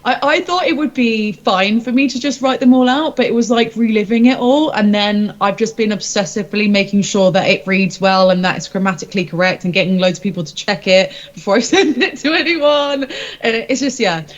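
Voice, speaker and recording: A woman's voice: medium pitch, fairly quick. Chatty woman, slightly dull audio. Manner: chatty, rambling, informal. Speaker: musician, singer-songwriter being interviewed. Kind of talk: casual interview chat.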